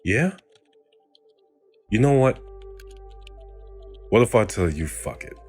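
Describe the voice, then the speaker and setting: Male actor as cynical cop, low-pitched, sardonic, bitter, defiant, audio drama, faint music behind. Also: big pitch swings, slow pace, a little mellow. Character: bitter, cynical, foul-mouthed American cop, a man.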